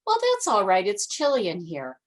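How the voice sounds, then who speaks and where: A woman's voice: mid-range voice, wildly swooping pitch, normal speed. A woman voicing a kind, fussy old grandmother who talks in a sing-song, chatty way in a children's story. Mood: fussing.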